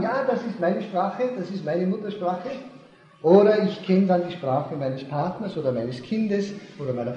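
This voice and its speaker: A man's voice: high voice, mellow. A man giving a seminar lecture, explaining step by step, repeating key points and checking whether the audience understands. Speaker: seminar speaker. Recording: echoey hall, dull lo-fi sound.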